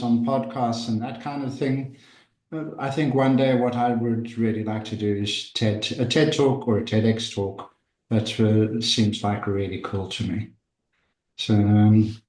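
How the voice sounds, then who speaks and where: A man with a South African accent introducing himself as a leadership psychologist and writer on a video call, speaking slowly with many fillers. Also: medium pitch, a little mellow, roomy sound, rough recording. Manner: slow, hesitant, many fillers.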